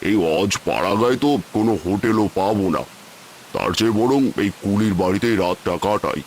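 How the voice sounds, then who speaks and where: A man voicing a haughty, suspicious rich city gentleman in a cartoon moral tale, condescending and complaining. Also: fairly low pitch, a little fast, slight background noise, natural voice. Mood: reluctant.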